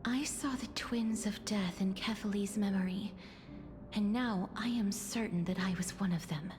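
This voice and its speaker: An actress playing a gentle, solemn young woman bound to death, speaking in a measured, soft way for a video game. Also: mid-range voice, music in the background, lightly acted. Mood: solemn, wistful. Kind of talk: dramatic video game voice acting.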